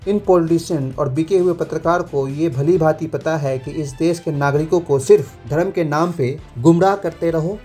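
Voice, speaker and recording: An Indian man narrates a scripted explainer like a teacher laying out points. His voice sits a little high but has a dark, mellow tone. He drops English terms into his sentences, and faint music plays behind him. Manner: didactic, code-switching into English terms. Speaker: presenter, lawyer explaining public issues.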